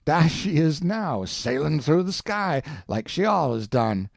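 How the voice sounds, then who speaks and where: A man's voice: on the high side, big pitch swings, a little slow, vocal fry, no room sound, studio quality, full character voice. A man voicing a frightened, superstitious grown man who pleads in a heavy rural dialect. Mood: pleading.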